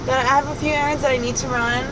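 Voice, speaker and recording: Congested woman rambling over loud traffic. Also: high voice, fairly even intonation, fast, distant mic. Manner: rambling, filler-heavy storytelling. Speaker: vlogger. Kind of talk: casual vlog chat. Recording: loud traffic noise, voice off-mic.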